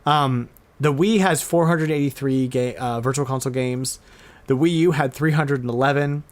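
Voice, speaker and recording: A man speaks as a podcast host in a middling pitch. His delivery is casual and informal, like chat among hobbyists. He tends to halt and repeat words as he goes. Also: moderate pace. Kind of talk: casual chat about retro game news.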